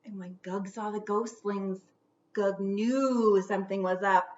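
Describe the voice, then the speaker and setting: A woman reading a children's storybook aloud theatrically, stretching out words and dropping into hushed whispers for suspense. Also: medium pitch, steady tone, measured pace, dull audio, a little hiss or hum.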